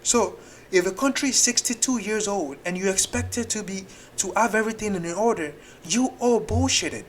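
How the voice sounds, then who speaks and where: A man's voice: high-pitched, moderate pace, slight background noise, rough recording. A man hosting a reaction video, talking back at clips in a rambling, exasperated way. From Nigeria. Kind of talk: casual reaction commentary.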